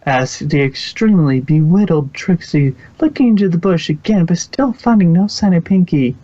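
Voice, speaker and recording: A man's voice: fairly high pitch, expressive, normal speed, mellow, no room sound, muffled recording. A man reading scripted storybook narration for a fan fiction audio production.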